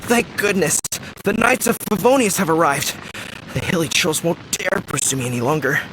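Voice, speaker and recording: Flustered, animated village merchant. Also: male voice, on the high side, moderate pace, background noise. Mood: relieved, shaken. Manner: dramatic, aggrieved, complaining. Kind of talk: anime-style video game dialogue.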